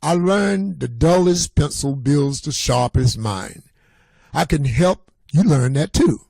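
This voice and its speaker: A man's voice: a little high, lively intonation, slow, gravelly, a little mellow, breathy edge, no echo, full character voice. A man voicing a kindly, wise grandfather in a storybook, encouraging and patient.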